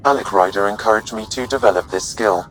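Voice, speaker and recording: A man performs a calm shipboard AI from a sci-fi game, low in pitch and courteous. Every phrase is measured, formal and precise. A faint rumbling noise sits behind the voice. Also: lightly acted, low-quality recording. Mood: even, deadpan. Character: calm, courteous male artificial intelligence aboard a spaceship. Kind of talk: video game voice acting.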